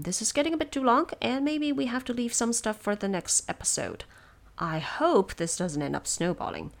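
A woman hosting production notes, explaining things in a measured, semi-scripted and lightly wry way. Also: on the low side, creaky. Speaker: host of production notes for an audio drama.

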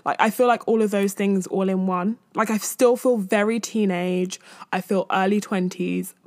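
A woman's voice: mid-range voice, no room sound. Chatty woman in her twenties, dry close sound. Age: 20s. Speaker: podcast host. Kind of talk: casual chatty solo podcast.

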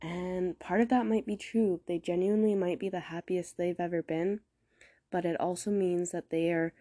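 A woman hosting a podcast alone, a bit stuffed up from a cold, talking slowly and earnestly with some rambling. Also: low-pitched, warm tone. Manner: earnest, encouraging, a little rambling; deliberately slow, careful pronunciation. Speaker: podcast host. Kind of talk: casual solo podcast monologue.

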